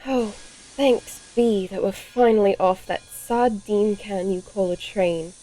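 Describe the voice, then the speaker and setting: A woman's voice: mid-range voice, takes it slowly, mellow, slight background noise, close to an everyday voice, poor audio. A woman playing a bloodthirsty, grumbling female vampire in an audio horror-comedy, speaking dryly and theatrically in old-fashioned phrases. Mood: relieved, brisk.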